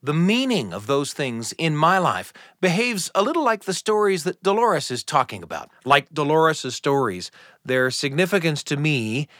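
A man's voice: fairly high pitch, big pitch swings, a bit bright, no echo. Reflective male host, dry studio sound. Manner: measured, reflective. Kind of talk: scripted reflective narration.

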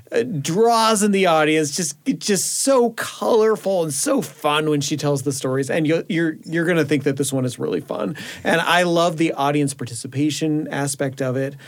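A man, a radio producer, chatting casually in a studio conversation. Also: fairly high pitch.

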